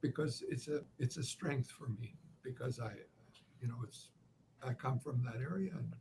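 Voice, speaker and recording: A man's voice: medium pitch, background noise. Canadian man with a slight Ukrainian accent. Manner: measured, deliberate, with frequent "uh" pauses. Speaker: guest, author and journalist. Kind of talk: reflective interview answers.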